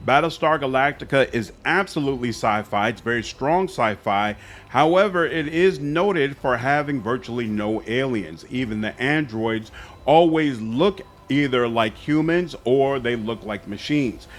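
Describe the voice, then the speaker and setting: A man's voice: mid-range voice, lively intonation, a little slow. Man hosting a gaming commentary video. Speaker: gaming channel host.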